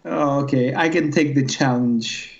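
Slow-talking joking man, muffled sound. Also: medium pitch, creaky. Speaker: player. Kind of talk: casual game chat.

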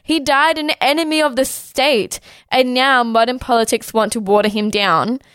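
A young woman hosts a podcast in easy, chatty talk, peppering her lines with "like" and "uh". Her voice is a little hoarse from a sore throat, yet smooth and clear, recorded dry and close with no room sound. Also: high-pitched, fairly even intonation, fairly bright tone, no room sound. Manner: chatty, fast-flowing, with "like" and "uh" fillers. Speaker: podcast host. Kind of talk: casual podcast chat.

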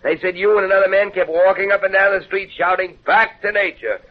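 An actor in a 1930s radio drama playing an exasperated, dry-witted minder who scolds like a long-suffering friend. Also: male voice, high-pitched, steady tone, fairly quick, close to an everyday voice. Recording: tinny, phone-like 1930s radio broadcast.

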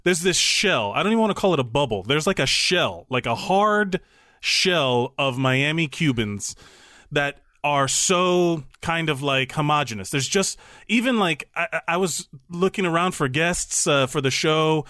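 Higher-voiced man hosting a casual, halting chat. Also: animated, sharp tone, no echo, clean studio sound. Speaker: podcast host.

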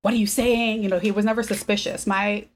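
A woman in her fifties, a podcast guest, telling family stories in a relaxed way and mixing English and Spanish. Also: mid-range voice, moderate pace, soft background music. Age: 50s. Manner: switches between English and Spanish, laughs often. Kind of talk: casual bilingual conversation.

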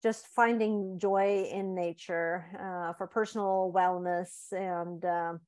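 A woman in her forties from Sweden, a guest author talking in a casual interview, thoughtful and halting, with many fillers and restarts. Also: fairly low pitch, steady tone, a little mellow.

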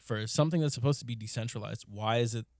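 A man's voice: mid-range voice, fast, a little mellow, no room sound, clean studio sound. A man co-hosting a podcast, chatting casually with friends, laughing often and rambling with a lot of swearing. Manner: laughing, profane, rambling storyteller. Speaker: podcast co-host. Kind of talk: casual group chat.

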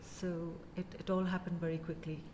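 A woman in her fifties from India with an Indian English accent, telling her story as a guest in a casual interview, measured and full of pauses. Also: on the low side, little pitch movement, dark tone, big-room sound, slight background noise. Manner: measured, many pauses and "uh" fillers.

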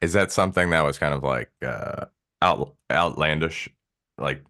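A man hosts a podcast with a voice pitched very low. He reads his opening in a scripted way with a dry, self-deprecating aside, then chats loosely with his guest. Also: moderate pace, sharp tone. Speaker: podcast host. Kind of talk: scripted intro, then casual interview.

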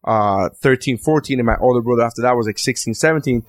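Young guy, mid-pitch, rambling profane storyteller, dry close sound. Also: fast, no echo. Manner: rambling, heavy swearing, animated retelling. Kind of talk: casual profane chat.

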